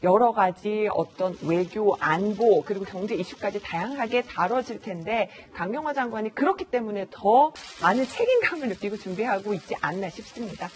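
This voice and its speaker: A woman giving measured commentary as a panelist on a news show. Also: mid-range voice, animated, darkish tone, slight background noise.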